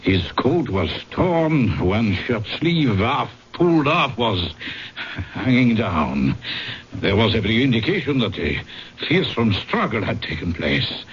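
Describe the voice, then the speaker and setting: An actor playing a shaken veteran physician, older, speaking with grave, halting, ominous emphasis in a radio drama. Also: male voice, on the low side, very animated. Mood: grave, horrified. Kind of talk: period radio drama acting. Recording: dull old radio recording, faint noise.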